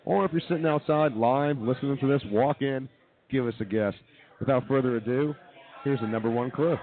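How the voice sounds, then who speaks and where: A man's voice: mid-range voice, a little fast. A man hosting a live comedy radio show, mixing mock-formal announcing with casual banter. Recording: thin phone-like sound with audience noise.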